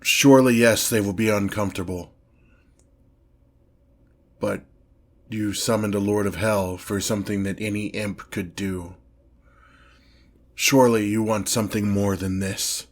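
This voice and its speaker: A man playing an imperious ancient demon lord in an ASMR fantasy roleplay, speaking in a pompous, theatrical, drawn-out manner, menacing and easily exasperated by mortals. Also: lowish voice, flat delivery. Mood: incredulous, exasperated.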